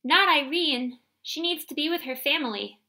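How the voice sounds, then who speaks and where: A woman's voice: high-pitched, normal speed, sharp tone, voice pushed up. A woman voicing a loving, protective mother in a read-aloud story, speaking with pleading emotion.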